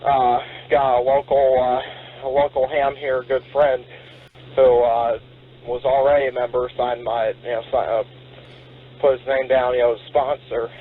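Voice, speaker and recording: A man's voice: mid-range voice, level tone, unhurried, a little hiss or hum. Man over a thin, phone-like radio link. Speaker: amateur radio operator checking in. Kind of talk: casual, rambling radio check-in.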